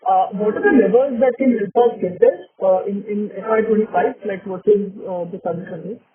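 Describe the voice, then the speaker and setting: High-pitched Indian male analyst, phone line. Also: moderate pace, a crowd in the background. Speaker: analyst asking questions. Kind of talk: polite earnings call questioning.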